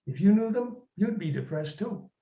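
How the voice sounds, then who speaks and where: In a roomy, slightly muffled recording, a man reads the part of a put-upon husband in his forties from a short story. He is depressed and defensive, grumbling in weary everyday language with a mellow tone. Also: sits high, expressive, normal speed, pitched above the performer's own voice. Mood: glum, defensive. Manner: weary, complaining, colloquial.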